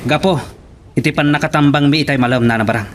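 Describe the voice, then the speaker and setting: A man's voice: fairly high pitch, quick pace, lightly acted. A man with an Ilocano accent playing a loyal, alert hacienda henchman in a radio drama. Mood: earnest, vigilant. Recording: noisy radio drama recording.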